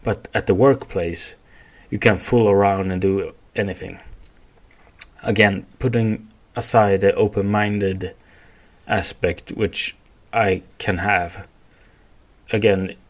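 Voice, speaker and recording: A man from Sweden with a Swedish accent, speaking English as a second language in a rambling, halting solo podcast monologue. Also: lowish voice, fairly even intonation. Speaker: podcast host.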